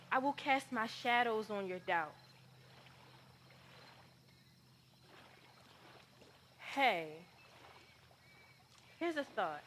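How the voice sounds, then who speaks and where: A woman performing a dramatic monologue as someone wounded and defiant who feels invisible, speaking deliberately and emphatically with pleading pauses. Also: medium pitch, a bit bright, a little hiss or hum. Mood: wounded, accusing.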